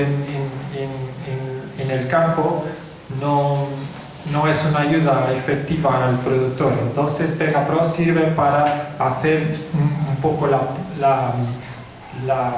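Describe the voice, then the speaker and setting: Portuguese man speaking haltingly in an echoey hall. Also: mid-range voice. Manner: hesitant, halting, with many fillers and restarts. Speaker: company founder giving a talk. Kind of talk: conference presentation. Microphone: handheld microphone. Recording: very echoey hall with faint noise.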